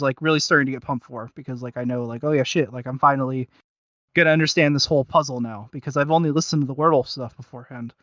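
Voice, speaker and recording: A man's voice: mid-range voice, a bit bright, no echo, muffled recording. A man co-hosting a casual review podcast, rambling and hesitant as he searches for his words. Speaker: podcast co-host reviewing audio series.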